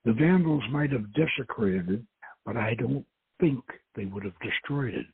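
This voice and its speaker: A man's voice: mid-range voice, lively intonation, normal speed, gravelly, breathy edge, dry recording, full character voice. A man reading the part of a gracious, confident woman archaeologist in an adventure novel, politely and with bookish assurance. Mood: assured. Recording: muffled narrowband radio-like audio.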